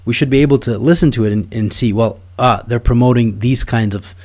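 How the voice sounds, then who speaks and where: A man's voice: medium pitch, fairly quick, a little mellow. A man from Russia speaking as a guest in a casual interview, opinionated and thoughtful, with frequent fillers and restarts.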